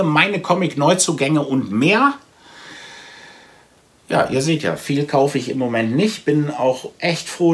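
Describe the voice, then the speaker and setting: A man's voice: medium pitch, fairly bright tone. A man in his forties from Germany chatting casually to camera as a vlogger, rambling and thinking aloud. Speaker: comic book vlogger. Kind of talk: casual chat to camera, reviewing a comic haul. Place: small room at home with packed shelves, indoors.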